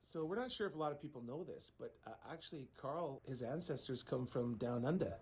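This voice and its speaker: A man's voice: medium pitch, animated, brisk, a little mellow, slight background noise. A man from a band hosting a casual video, upbeat and playful as he prompts his bandmate to tell stories. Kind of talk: casual chat.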